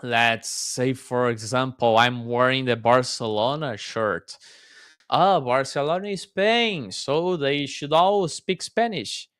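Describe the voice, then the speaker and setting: A man's voice: medium pitch, slow pace, fairly bright tone, clean tone, no room sound. A man hosting a casual interview chat, talking in an enthusiastic, question-asking way. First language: Portuguese. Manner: chatty, enthusiastic, asks questions. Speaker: host.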